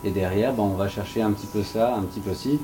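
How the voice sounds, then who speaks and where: A man's voice: fairly low pitch, quick pace. A man talking spontaneously on an expert panel, hesitant and repeating his words. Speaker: sound professional on an expert panel. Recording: clear ambient room noise.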